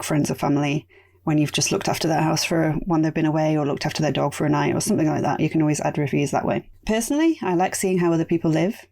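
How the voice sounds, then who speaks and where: A woman hosting a casual solo podcast, chatty and rambling, her voice going raspy. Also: sits low, a little mellow. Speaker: podcast host.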